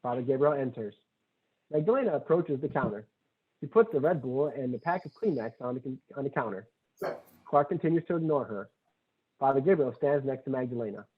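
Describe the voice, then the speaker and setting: A man's voice: medium pitch, animated, a little fast, husky. A man reading screenplay stage directions as scripted narration at a table read. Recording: tinny phone-like audio.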